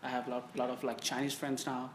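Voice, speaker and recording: A young man from Pakistan, an international student whose first language is Urdu, answering interview questions haltingly and restarting phrases. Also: mid-range voice, flat delivery. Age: young adult. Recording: echoey room with background noise.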